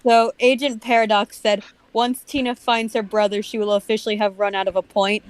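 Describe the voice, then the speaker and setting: A woman's voice: mid-range voice, little pitch movement, a little fast, dry recording. A woman chatting casually as a co-host, sarcastic, blunt and crude in her commentary. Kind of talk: casual group chat and commentary.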